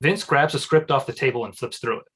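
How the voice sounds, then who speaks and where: Mid-pitched man reading stage directions. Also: quick pace, fairly bright tone, husky. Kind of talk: scripted narration of screenplay directions.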